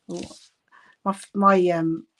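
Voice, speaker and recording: A woman's voice: mid-range voice, a little mellow, a little hiss or hum. A woman with a Bristolian accent hosting a live craft session from home, chatty and giggly, greeting viewers by name. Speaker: craft livestream host. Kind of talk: casual live craft chat. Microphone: phone camera on desk.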